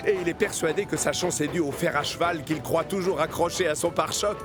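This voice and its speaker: A man narrating a scripted children's cartoon story in an expressive storytelling manner. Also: on the high side, fairly quick, music in the background.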